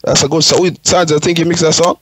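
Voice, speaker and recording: Very fast-talking man. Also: a little high, steady tone, a bit bright, husky, no echo. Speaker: panelist. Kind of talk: casual radio panel chat.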